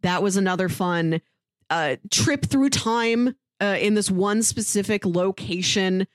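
A woman's voice: mid-range voice, a little slow, no echo, clean studio sound. A woman co-hosting a podcast, giving recommendations in a chatty, informal way with casual asides. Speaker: podcast co-host.

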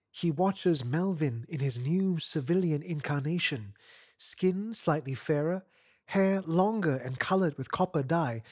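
Guy reading a short story out loud, expressive, voice a bit on the high side, really dry sound. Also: no room sound. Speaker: story narrator. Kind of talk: expressive scripted narration of literary fiction.

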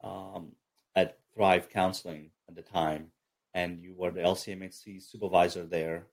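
A low-pitched man from Egypt talks about his life in a relaxed interview. He speaks casually with plenty of ums and a humble, chatty way. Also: normal speed, vocal fry, husky. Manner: relaxed, chatty, self-deprecating with fillers. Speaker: army chaplain and counselor.

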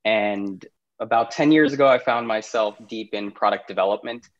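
A man's voice: lowish voice, normal speed, dull audio. A man from Pakistan, chatting easily as a podcast guest, laughing often between thoughts. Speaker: podcast guest, startup CEO. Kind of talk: casual interview conversation.

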